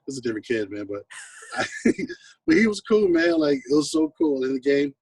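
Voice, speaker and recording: A man's voice: medium pitch, wildly swooping pitch, a little fast, creaky, a little mellow, slightly rough, dry recording, far from the mic. An American man from the West Coast and Hawaii, a guest telling anecdotes in casual, laid-back, slangy talk. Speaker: guest, singer-songwriter musician. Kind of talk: casual chat, anecdotal storytelling.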